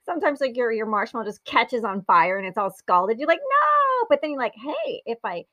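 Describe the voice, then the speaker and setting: A woman hosting a casual interview, enthusiastic and quick to laugh. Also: fairly high pitch, sing-song, bright, forceful tone, no echo. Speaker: host.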